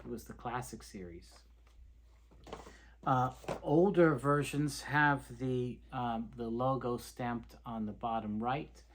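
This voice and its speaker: A man presenting an informal, unscripted product showcase, explaining things in a halting, hesitant way with repeated words. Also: medium pitch, slight background noise. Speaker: presenter of reel tape review videos.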